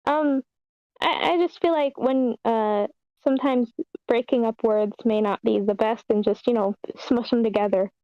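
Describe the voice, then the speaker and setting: Woman, fairly high voice, close and dry sound, informal and animated feedback-giver in an online meeting. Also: moderate pace, no echo, dull audio. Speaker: script feedback participant at an online table read. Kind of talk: casual feedback chat.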